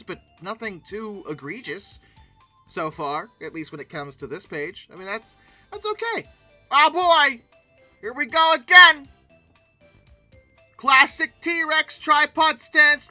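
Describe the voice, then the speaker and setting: An animated host with a very bright, forceful voice, recorded close and dry. The delivery swings widely in pitch, casual and comically incredulous, like someone reacting out loud to pictures. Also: no echo. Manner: animated, theatrically exclaiming and incredulous. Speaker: video host commenting on old dinosaur art. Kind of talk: casual humorous reaction commentary.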